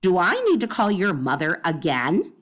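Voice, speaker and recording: A woman voicing a stern, fussy older neighbor lady with a high voice and a prim, scolding manner, read from a children's storybook. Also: big pitch swings, normal speed. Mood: cold.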